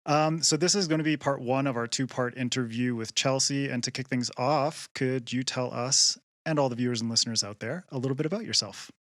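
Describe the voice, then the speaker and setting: A man's voice: medium pitch, brisk, hardly any pauses, a bit bright, dry recording, studio quality. A man co-hosting a podcast, reading the show's plugs and then interviewing a guest with friendly enthusiasm. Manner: friendly, enthusiastic, some stammering restarts. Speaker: podcast co-host. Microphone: video call.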